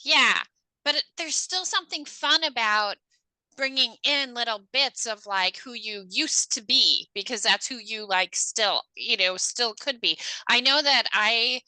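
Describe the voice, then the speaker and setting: A woman's voice: on the high side, measured pace, bright, forceful tone, no echo, poor audio. A woman hosting a podcast, telling personal stories in a rambling, self-aware way during a casual interview. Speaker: podcast host.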